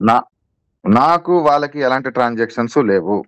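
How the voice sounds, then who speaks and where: A man's voice: medium pitch, lively intonation, brisk, clear, resonant tone, no room sound. A man being interviewed on a recorded call, protesting insistently and repeating himself as he defends his position.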